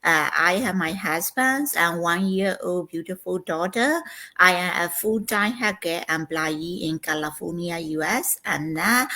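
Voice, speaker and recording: A woman's voice: fairly low pitch, bright, forceful tone. A woman from Myanmar, introducing herself politely and formally on a video call. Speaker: guest business partner.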